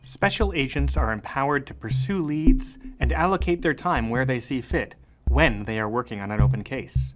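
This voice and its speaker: A man's voice: medium pitch, moderate pace, hardly any pauses, music in the background, lightly acted. A man playing a matter-of-fact narrator of case files in an audio drama, formal and factual. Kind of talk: scripted case-file narration.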